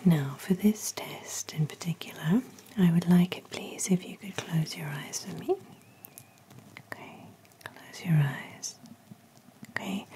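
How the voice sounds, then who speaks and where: A woman in her thirties whispering slow, gentle instructions as she plays an examiner in an ASMR roleplay, recorded close to the mic in a small room indoors. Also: fairly low pitch. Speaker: ASMR artist playing an ear examiner. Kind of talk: ASMR medical exam roleplay. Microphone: close-up microphone.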